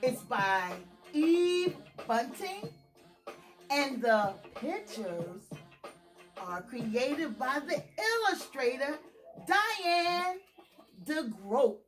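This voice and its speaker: A woman's voice: high-pitched, sing-song, extremely slow, music in the background. A woman hosting a children's storytime in a theatrical, emphatic, singsong read-aloud style, drawing out and repeating phrases.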